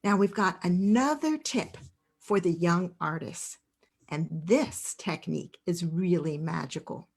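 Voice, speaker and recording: A woman hosting an educational presentation for teachers, speaking in an encouraging, expressive way. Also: fairly low pitch, unhurried, creaky. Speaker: host.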